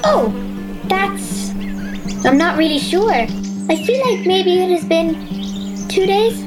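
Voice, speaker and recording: A woman playing a curious, excitable young Irish girl, acting and narrating her adventure in a wide-eyed, expressive way for an audio drama. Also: very high-pitched, slow, full character voice. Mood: alarmed, urgent. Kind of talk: audio drama acting and first-person storytelling. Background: music.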